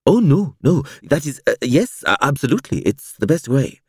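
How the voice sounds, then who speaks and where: A man voicing a kindly, awkward hospital consultant in an audiobook, speaking with gentle, hesitant politeness. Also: medium pitch, big pitch swings, moderate pace, husky, no room sound, clean studio sound, pitched above the performer's own voice. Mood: flustered, hesitant. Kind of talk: audiobook character dialogue.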